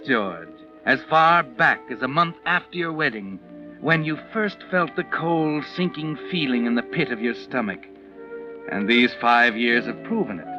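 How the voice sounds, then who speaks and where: An actor playing an all-knowing, taunting mystery narrator, dramatic and insinuating, in 1940s radio style. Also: male voice, medium pitch, bright, forceful tone. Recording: dull 1940s radio recording. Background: music.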